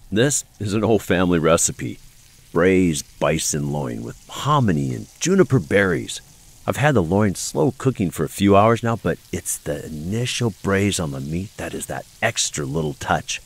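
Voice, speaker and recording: Casting: actor for suave, secretive male inventor, audio drama, lowish gravelly voice. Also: big pitch swings, unhurried, full character voice. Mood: smooth, amused.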